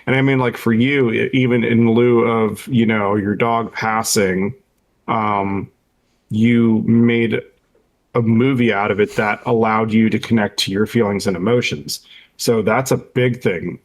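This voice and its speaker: A man's voice: on the low side, fairly even intonation, unhurried. A man co-hosting a podcast, chatting casually in an informal, supportive way. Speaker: podcast co-host. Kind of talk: casual chat.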